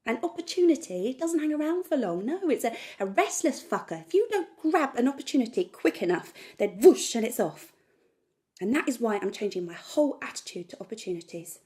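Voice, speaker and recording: A woman's voice: a little high, very animated, brisk, dark tone. A woman acting a monologue as a manic, impulsive, sweary woman who works herself up with emphatic, repetitive declarations. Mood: fired up, defiant.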